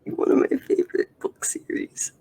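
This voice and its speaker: A woman's voice: normal speed, dark tone. A woman hosting a casual solo podcast from her bedroom, rambling with lots of fillers and restarts into a sensitive microphone. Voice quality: raspy. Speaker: podcast host. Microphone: sensitive studio microphone.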